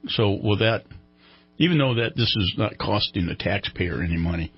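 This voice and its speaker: A man's voice: fairly low pitch, animated, normal speed, husky, muffled recording, faint music behind. A man hosting a casual radio interview, talking in a chatty, meandering, joking way. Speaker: radio host.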